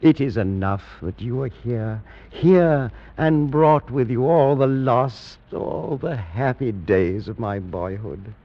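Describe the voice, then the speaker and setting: An actor playing a frail, feverish, haunted nobleman in theatrical old-time radio horror style, halting and frayed. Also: mid-range voice, lightly acted. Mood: apologetic, weary. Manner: theatrical, halting, swinging between weary and frenzied. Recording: muffled vintage radio recording.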